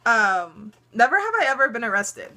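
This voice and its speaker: A woman's voice: fairly high pitch, very animated, normal speed, bright, forceful tone. A teenage girl vlogger telling funny stories in casual chat, laughing often and dropping lots of ums.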